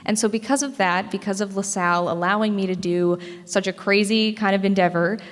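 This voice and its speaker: A woman's voice: medium pitch, brisk. Young American woman speaking in echoey hall. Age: teens to young adult, high school student. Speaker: parade court student speaker. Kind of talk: prepared public speech. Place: indoor school meeting room. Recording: echoey hall with long reverb.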